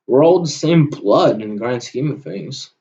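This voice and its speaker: A dull, lo-fi recording of a man talking off the cuff in a fairly low voice. He rambles through an unscripted monologue in a halting way, often pausing and letting sentences trail away. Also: animated, mellow. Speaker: vlogger recording an audio log.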